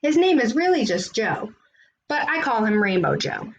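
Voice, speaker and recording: Woman, high voice, very animated pitch, expressive picture-book read-aloud, some background noise. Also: normal speed, highs cut off.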